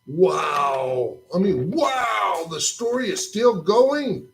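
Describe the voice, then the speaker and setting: A man's voice: high-pitched, very animated, very slow, vocal fry, a little hiss or hum, full character voice. A man voicing a curious, playful young boy puppet in a church children's puppet show, asking questions and cracking jokes. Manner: questioning, jokey and full of wonder.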